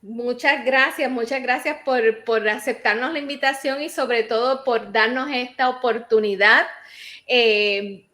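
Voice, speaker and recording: Female host, bright high voice, gushing, wordy welcomes on a video call. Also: steady tone, a little slow. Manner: effusive, wordy and affectionate. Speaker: show host. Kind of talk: warm talk-show welcome.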